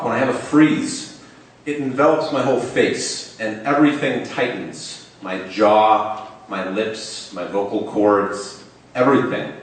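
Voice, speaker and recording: Young man who stutters, in an echoing hall. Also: mid-range voice. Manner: stutters, deliberately repeating and stretching first sounds. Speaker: storyteller. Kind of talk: live storytelling on stage. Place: stage in a large hall. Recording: echoey hall, mic far off.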